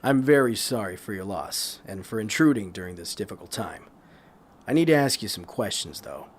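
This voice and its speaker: An actor playing a gentle but persistent male government investigator in an audio drama, measured and polite. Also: on the low side, animated. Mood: sympathetic, businesslike. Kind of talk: naturalistic audio drama acting.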